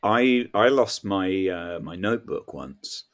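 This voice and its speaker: A man, a comedian guesting on a podcast, telling rambling, self-deprecating anecdotes in a halting conversational way. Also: low voice, expressive.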